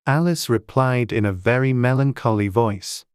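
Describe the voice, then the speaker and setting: A man's voice: medium pitch, moderate pace, smooth, dry recording. A man reading scripted audiobook narration as the storyteller, close to the microphone.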